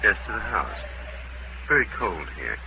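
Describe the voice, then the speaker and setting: Low-voiced anxious husband. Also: male voice, big pitch swings, gravelly, full character voice. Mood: uneasy. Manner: halting, urgent. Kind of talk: 1940s radio drama acting. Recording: muffled, noisy old radio recording.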